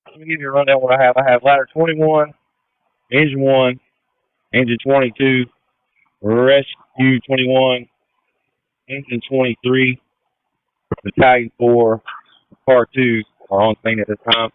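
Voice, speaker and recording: A man's voice: medium pitch, flat delivery, a little slow, pauses often, clear, resonant tone, dry recording. Man, fire commander, tinny two-way radio, dry close sound, directive, matter-of-fact. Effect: two-way radio transmission. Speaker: fire battalion chief acting as incident commander. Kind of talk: emergency radio traffic.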